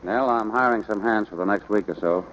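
A man's voice: fairly low pitch, fairly quick, a bit bright, lightly acted, poor audio. A man playing a firm, plainspoken rancher father in a 1940s radio drama, terse and matter-of-fact. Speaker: actor in radio play.

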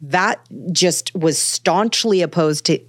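Low-voiced woman guest on a dry studio mic, musing with lots of fillers. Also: slow, no room sound. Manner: thoughtful, meandering, many fillers and restarts. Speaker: author and podcast guest. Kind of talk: casual conversational interview.

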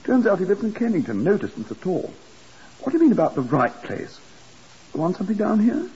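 An actor in a 1950s radio drama playing a fussy, self-important British building manager with clipped, questioning delivery. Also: male voice, high-pitched, big pitch swings, a little fast, dark tone, slightly rough, heavily acted. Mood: flustered, brisk. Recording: dull vintage 1950s radio recording.

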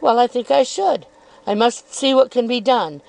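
An indifferent, careless young gentleman, read by a woman narrator, speaks in a light, offhand manner. A gravelly grain sits in the voice. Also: on the high side, moderate pace, voice pushed up, rough recording. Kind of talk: audiobook reading of a novel.

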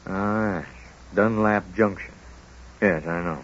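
A man playing a kindly, gently moral small-town family doctor in old-time radio drama, speaking in a folksy, hesitant, musing way. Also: fairly low pitch, slow pace. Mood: concerned, resigned. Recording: dull old radio broadcast recording.

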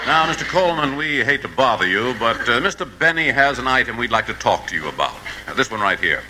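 A man's voice: mid-range voice, big pitch swings, fast, close to an everyday voice. A man playing a polite, apologetic assistant tax official in 1950s radio comedy, formal and a little hesitant. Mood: courteous, inquiring. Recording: faintly noisy vintage 1950s radio broadcast.

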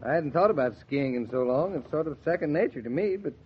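An actor playing a confident, coaxing young husband giving brisk instructions in a 1940s radio drama. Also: male voice, on the high side, lively intonation, husky. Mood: reassuring. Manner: brisk, instructive, self-assured. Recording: muffled 1940s radio transcription.

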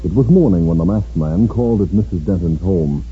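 A man's voice: low voice, brisk, breathy edge. A man narrating a radio drama in a 1950s style. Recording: tinny old radio broadcast, music behind.

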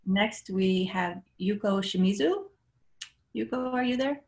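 A woman's voice: medium pitch, measured pace. A woman moderating an online panel, giving brief, polite introductions.

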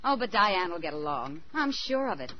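An actress playing a wistful, wary young struggling stage hopeful in 1940s radio drama style, on an old band-limited recording. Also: female voice, fairly low pitch, sing-song, normal speed, lo-fi sound, noisy background. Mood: blue, wistful. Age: young adult.

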